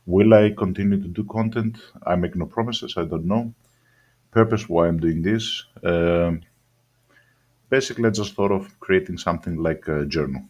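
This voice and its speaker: A man with a mixed accent that is partly Greek-sounding, raised in Australia, reviewing a game in a casual, meandering way. His voice sits very low and sounds husky. He hesitates a lot and often starts his sentences over. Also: darkish tone, lo-fi sound. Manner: rambling, hesitant, many ums and restarts. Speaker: gaming channel host.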